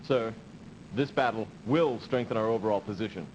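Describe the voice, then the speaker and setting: An actor playing a dutiful, cautious officer who gives formal, measured briefings in a video game cut-scene. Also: male voice, on the low side, a little mellow, close to an everyday voice. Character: dutiful, cautious male officer briefing his space commander. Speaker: military adviser in a video game. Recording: dull, noisy old CD game audio.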